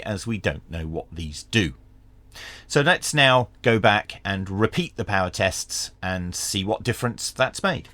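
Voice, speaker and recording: A man talks through a hands-on product review. His voice sits on the low side and is recorded dry, with no room sound around it. He explains things in a measured, factual way. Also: a bit bright, no room sound. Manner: measured, matter-of-fact explaining. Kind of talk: hands-on tech review commentary.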